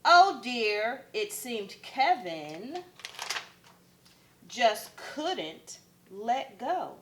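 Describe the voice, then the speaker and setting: A woman's voice: on the high side, big pitch swings, extremely slow, noisy background. A woman, a first grade teacher, reading a picture book aloud expressively for children.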